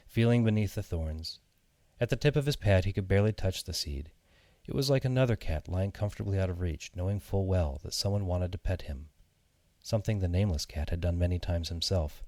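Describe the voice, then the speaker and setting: Guy reading a kids fairy tale, low voice, measured storyteller delivery, dry close sound. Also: a little mellow, no room sound. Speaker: audiobook narrator. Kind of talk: scripted children's story narration.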